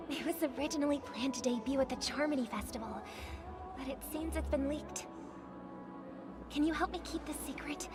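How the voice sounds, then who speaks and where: Game casting: high female voice actress in role, courteous celebrity singer, measured and soothing, music playing prominently behind. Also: natural voice. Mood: reassuring, gentle. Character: poised, courteous famous songstress soothing anxious guests. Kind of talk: video game voice acting.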